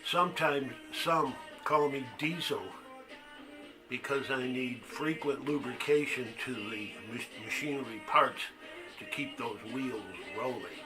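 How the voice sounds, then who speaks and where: A man's voice: mid-range voice, a little slow, over music, lightly acted. An actor playing a crude, haunted man who halts and confides as he tells a story in a stage monologue. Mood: boozy, ceremonious. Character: crude, haunted man recounting a boyhood secret. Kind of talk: one-act monologue, storytelling.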